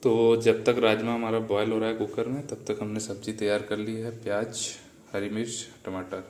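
A man's voice: on the low side, flat delivery, moderate pace, darkish tone. A young man, chatting casually to viewers while cooking in a small home kitchen. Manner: chatty, addresses viewers as brother. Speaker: cooking vlogger. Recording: echoey room with faint ambient noise.

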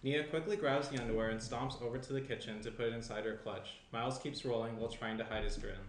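A man's voice: mid-range voice, fairly even intonation, fast. A man in his thirties reading stage directions as scripted narration at a table read. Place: small indoor room at a table. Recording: roomy, faint background noise.

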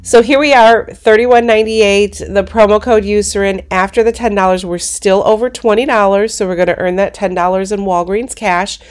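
A congested woman hosting a coupon channel, narrating a screen walkthrough of shopping deals in a brisk, practical way. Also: mid-range voice, steady tone. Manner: explains steps in a brisk, practical way.

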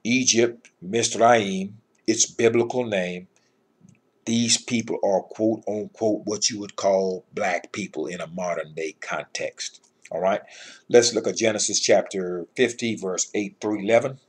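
A middle-aged man with a husky voice giving an informal scripture lesson in an emphatic, admonishing manner. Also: lowish voice, unhurried. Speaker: Bible teacher.

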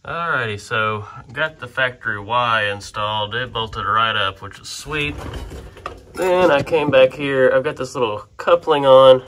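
A man casually narrating his work on a truck in his garage shop, relaxed and rambling as he talks while working. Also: mid-range voice, a little slow, slight background noise.

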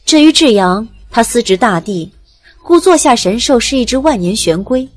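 Commanding ancient goddess, fairly high voice. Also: female voice, lively intonation, moderate pace, soft background music. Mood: calm explaining. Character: ancient true goddess, commanding, indulgent, unhurried. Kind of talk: audiobook drama acting.

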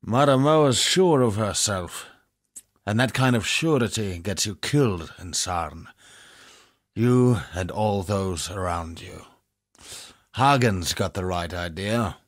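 An actor playing a grim, world-weary, scarred exile in a fantasy video game, speaking in a measured, brooding manner. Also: male voice, lowish voice, dry recording, clean studio sound. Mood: wry, cynical. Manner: slow, measured, brooding delivery. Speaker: game character. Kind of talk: dramatic video game voice acting.

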